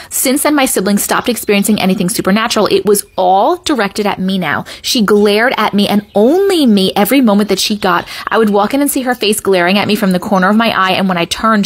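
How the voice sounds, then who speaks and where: A middle-aged woman hosting a creepy story video, reading aloud expressively and dramatically with casual asides. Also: mid-range voice. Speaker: host reading viewer-submitted scary stories.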